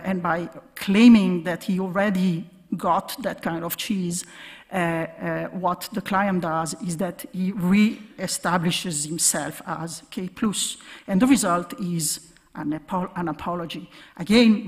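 A woman giving an academic keynote lecture from a podium, explaining in a halting way with repeated words and hesitations. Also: lowish voice. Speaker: keynote speaker, academic researcher. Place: large conference hall stage, at podium. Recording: reverberant lecture hall sound.

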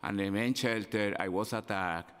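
A middle-aged man from Colombia with a Spanish accent, giving halting, unscripted testimony at a council hearing. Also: lowish voice, fairly bright tone, clear, resonant tone. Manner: halting, gesturing with his hands. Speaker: public testifier. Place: large city council chamber. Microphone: desk microphone. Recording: long hall reverb.

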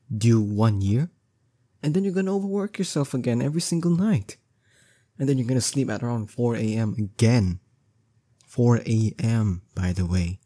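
A young man playing a strong, doting boyfriend in an intimate roleplay audio drama, coaxing and teasing affectionately with drawn-out words. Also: on the low side, mellow, breathy edge, dry recording, studio quality. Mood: exasperated, pleading. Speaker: voice actor.

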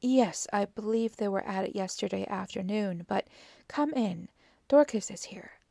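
A woman's voice: mid-range voice, measured pace, creaky, dark tone, no room sound, studio quality. A woman voicing a detective's eager, puzzled male friend in an audiobook, earnest and questioning. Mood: impatient. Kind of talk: audiobook character dialogue.

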